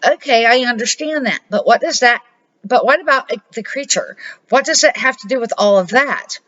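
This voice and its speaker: A woman's voice: a little high, moderate pace, fairly bright tone, dull audio, voice pushed up, natural voice. An older woman reading the part of a curious, eager young grandson in a children's storybook. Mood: puzzled. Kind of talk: storybook read-aloud.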